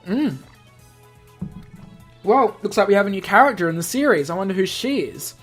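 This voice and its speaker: A man's voice: sits high, expressive, moderate pace, a bit bright. Male gaming host over music. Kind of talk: reading a visual novel aloud with casual asides.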